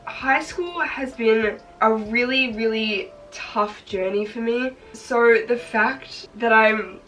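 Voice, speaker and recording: A teenage girl, her voice hoarse and congested, chatting upbeat with lots of "like" fillers as she makes a craft project on her bed. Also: fairly high pitch, takes it slowly, fairly bright tone, distant mic, slight background noise, low-quality recording. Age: teens. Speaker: vlogger. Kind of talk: casual chat while crafting. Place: bedroom, sitting on her bed.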